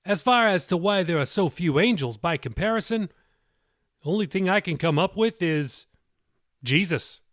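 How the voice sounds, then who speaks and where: A man's voice: high voice, animated, normal speed, a bit bright, no echo, studio quality. A man voicing an earnest male pastor in an audiobook, reasoning aloud and teaching from scripture. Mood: explaining, speculative.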